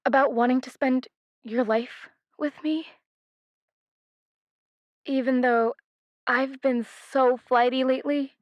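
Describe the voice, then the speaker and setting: A woman's voice: high voice, fairly even intonation, dry recording. A woman playing a flighty, apologetic, affectionate ghost in an audio drama, speaking hesitantly as a caller to an advice show over a lo-fi phone line. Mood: anxious, vulnerable. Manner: hesitant, halting.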